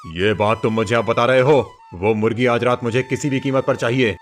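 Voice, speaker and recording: A man's voice: mid-range voice, normal speed, soft background music, lightly acted. A man voicing a greedy, domineering village headman, an imperious cartoon villain. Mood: demanding. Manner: imperious, scheming.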